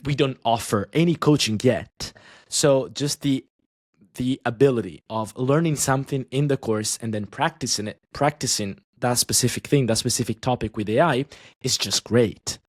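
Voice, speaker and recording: A man guesting on a podcast as an online language teacher, chatting casually and talking in quick bursts with frequent restarts. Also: mid-range voice, no room sound, studio quality.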